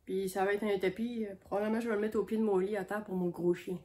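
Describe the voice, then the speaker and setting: A woman's voice: medium pitch, fairly even intonation, fast talker, dark tone. A woman with a Québécois accent, chatting casually about her knitting while she has a cold, in a homespun, meandering way. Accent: Québécois French. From Quebec. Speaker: knitting vlogger on a sheep farm. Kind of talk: casual show-and-tell chat.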